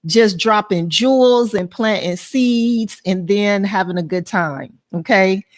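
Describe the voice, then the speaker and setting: An American woman interviews a guest in a casual, chatty way. Her pitch sits in the middle and she speaks at an unhurried, slow pace. She keeps things informal and likes to joke. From Flint, Michigan, USA. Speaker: show host, interviewer.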